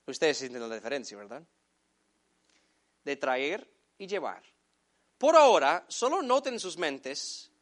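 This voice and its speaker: A man whose first language is English preaching a sermon in Spanish, addressing the congregation as brothers and slowing down to stress key phrases. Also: a little high, fairly bright tone. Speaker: pastor.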